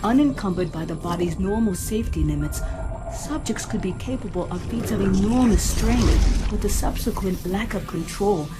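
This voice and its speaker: Over prominent music, an actress plays a female starship AI. She is coolly precise and dryly witty, delivering technical detail in a measured, clinical manner at a middling pitch, in a sci-fi drama style. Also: lightly acted. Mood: grave, clinical. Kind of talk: scripted sci-fi audio drama acting. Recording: music under the voice. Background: background music.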